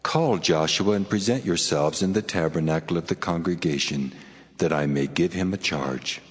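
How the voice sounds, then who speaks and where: An actor voicing God as a stern, majestic and commanding figure in a dramatized scripture reading. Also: male voice, low voice, normal speed, breathy edge. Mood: stern foretelling. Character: God, commanding, grave divine male voice. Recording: loud music under the voice.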